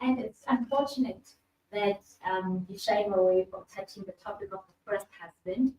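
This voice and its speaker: A woman's voice: medium pitch, normal speed, dark tone. Earnest woman in an echoey hall. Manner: earnest, reflective, emphatic. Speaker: panel facilitator. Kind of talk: panel discussion. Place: event hall. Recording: echoey room, distant mic, faint hum.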